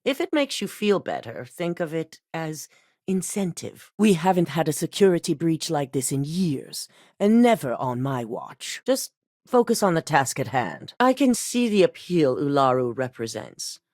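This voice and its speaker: A woman's voice: low-pitched, big pitch swings, unhurried, a little mellow, dry recording, studio quality, exaggerated voice. An actress voicing a cold, exacting female corporate executive in a video game, curt and authoritative. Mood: stern.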